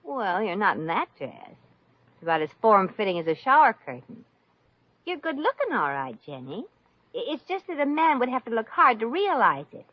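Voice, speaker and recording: A woman's voice: on the high side, sing-song, normal speed, dry recording. An actress playing a brassy, wisecracking, big-hearted showgirl in a slangy, sardonic 1950s radio comedy style. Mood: wry, encouraging. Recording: muffled lo-fi 1950s radio recording.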